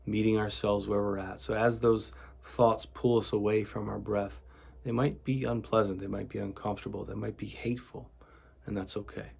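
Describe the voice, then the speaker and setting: A man leading a guided meditation in a gentle, soothing, deliberate way, with a gravelly, husky voice. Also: on the low side, level tone.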